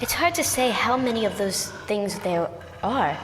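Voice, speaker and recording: An actress playing a no-nonsense, sarcastic Time Lord agent with a dry, brisk manner in a science-fiction audio drama. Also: female voice, mid-range voice, lively intonation, noisy background, natural voice. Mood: wary. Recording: long reverb with music behind.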